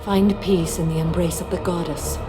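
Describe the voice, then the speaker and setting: An actress playing a calm, implacable warrior-monk in a video game, speaking with formal, stately care. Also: female voice, on the low side, moderate pace, loud music behind the voice, close to an everyday voice, poor audio. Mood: cold, menacing.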